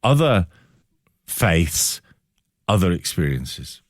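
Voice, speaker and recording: A middle-aged man interviewing on the radio, putting long, reflective questions with deliberate, emphatic phrasing. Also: low voice, very animated, a little hiss or hum.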